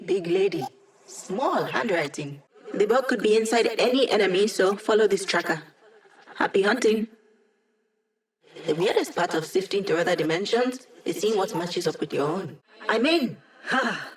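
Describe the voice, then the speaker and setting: An actress voicing a sardonic young woman hacker who runs tech support for a squad, in video game voice lines with dry, deadpan humor. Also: mid-range voice, normal speed, natural voice. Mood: wry.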